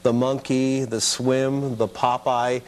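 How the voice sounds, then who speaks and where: A man's voice: mid-range voice, flat delivery, takes it slowly. A mature American man reminiscing casually as an interview guest in a television studio. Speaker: guest, former children's TV producer and puppeteer.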